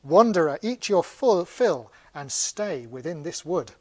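A man's voice: fairly high pitch, very animated, measured pace, darkish tone, slightly rough, no echo, heavily acted. A man telling a story aloud, voicing a generous otter who declaims verse. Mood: generous, declaiming. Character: otter by the stream, generously offering rotten fish. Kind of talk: oral storytelling character voice.

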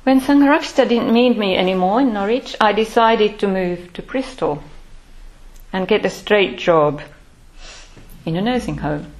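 Older woman telling her life story slow and thoughtful, lots of pauses. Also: mid-range voice, poor audio. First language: Finnish. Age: 60s. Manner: measured, thoughtful, pausing often. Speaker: Buddhist order member. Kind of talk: reflective personal life-story talk.

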